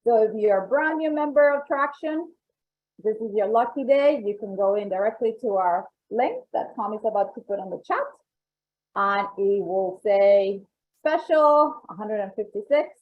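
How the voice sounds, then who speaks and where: A woman's voice: mid-range voice, moderate pace. A woman with a Colombian accent presenting member benefits on a webinar, upbeat and salesy, repeating points for emphasis. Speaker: membership coordinator. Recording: tinny phone-like call audio.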